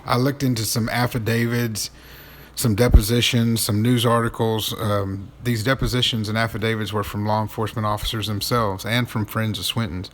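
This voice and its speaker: A man's voice: lowish voice, level tone, moderate pace. A man narrating accusatory commentary, emphatic, with repeated phrases and rhetorical questions.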